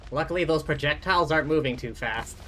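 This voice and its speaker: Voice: mid-range voice, fast talker, heavy background noise. A game streamer giving casual live commentary, muttering informally to themselves while playing. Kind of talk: casual live gameplay commentary, reading dialogue options aloud.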